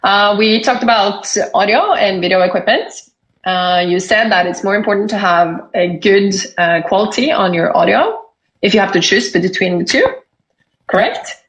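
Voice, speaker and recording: A woman's voice: medium pitch, moderate pace, fairly bright tone, dull audio. A woman hosting and moderating an interview, friendly and conversational, summing up points and steering the talk. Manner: friendly, sums up and moves the talk along. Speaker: host and moderator.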